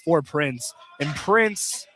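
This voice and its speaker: A man's voice: a little high, expressive, drawn-out words, very noisy. A young man doing informal live play-by-play commentary of a college basketball game, bantering with his co-commentator. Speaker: play-by-play announcer. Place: college basketball field house, live broadcast.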